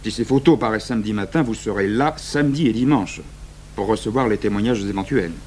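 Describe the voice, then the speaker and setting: A man's voice: lowish voice, brisk, darkish tone, close to an everyday voice. A man acting a sceptical, exacting magistrate in his thirties in a French radio crime drama, dry and formal. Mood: insistent, stern. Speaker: actor playing an examining magistrate. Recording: noisy 1980s radio recording with hum.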